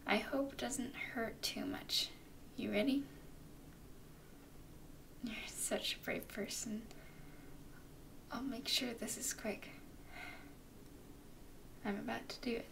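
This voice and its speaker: A woman playing a tender, doting vampire girlfriend in a soothing ASMR roleplay, mostly in breathy whispers. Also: a little high, steady tone, distant mic. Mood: gentle, reassuring. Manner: soothing, affectionate, unhurried. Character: tender, doting female vampire girlfriend comforting her nervous partner.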